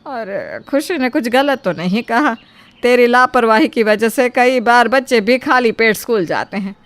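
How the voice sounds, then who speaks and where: A woman's voice: on the high side, animated, measured pace, dry recording. A woman voicing an anxious older mother-in-law in a cartoon moral story, pleading and placating with mild reproach. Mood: defensive, reproachful.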